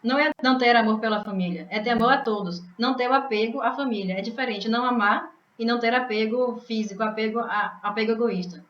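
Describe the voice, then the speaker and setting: A woman's voice: a little high, fast talker, few pauses, fairly bright tone, a little hiss or hum. A woman from Bahia, explaining scripture to a study group over a video call, hesitant and restarting words. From Bahia, Brazil. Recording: echoey muffled call audio.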